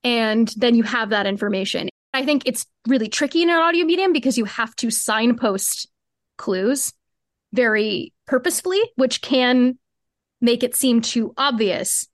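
High-voiced woman explaining her creative craft. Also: normal speed, no room sound, clean studio sound. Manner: explanatory, informal, peppered with "like" and "cool". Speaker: podcast guest, audio drama co-creator. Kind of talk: casual interview conversation about craft.

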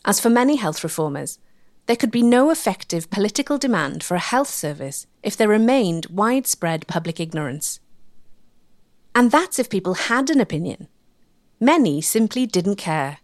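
A woman delivering scripted documentary narration in a measured, rhetorical manner with emphatic phrasing. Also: medium pitch, no echo, studio quality.

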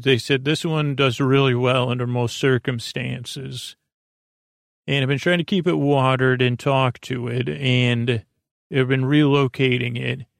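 A man with a creaky, gravelly voice hosts a bedtime podcast, rambling in a halting, meandering, whimsical way, repeating himself and trailing off. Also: mid-range voice, no room sound, studio quality. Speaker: host of a bedtime sleep podcast.

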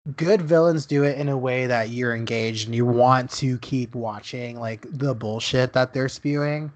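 A man's voice: mid-range voice, normal speed. A young man from Dallas, co-hosting a podcast, who talks casually with lots of "like" fillers and laughs often. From Dallas, Texas. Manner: chatty storyteller, laughs often, many "like" fillers. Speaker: podcast co-host. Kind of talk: casual chat.

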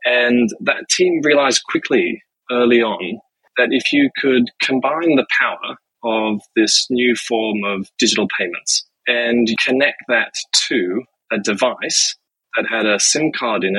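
Bright, husky-voiced man. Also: medium pitch, no echo, rough recording. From Zimbabwe. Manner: measured, explanatory, with frequent "uh" fillers. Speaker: guest, business executive. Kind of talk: interview answers.

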